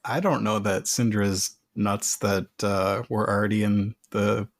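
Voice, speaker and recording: A man with a fairly low voice takes part in a tabletop roleplaying game. His talk is casual and chatty, as a player among others. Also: steady tone, unhurried, a little hiss or hum.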